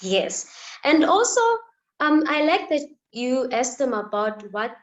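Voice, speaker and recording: A nineteen-year-old woman co-hosting an event in casual, earnest, unscripted talk with halting, informal phrasing. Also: high voice, lively intonation. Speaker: event co-host.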